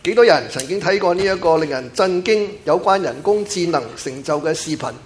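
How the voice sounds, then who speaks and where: A man's voice: high-pitched, expressive, brisk. A man with a Cantonese accent interpreting a sermon phrase by phrase in church. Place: church sanctuary.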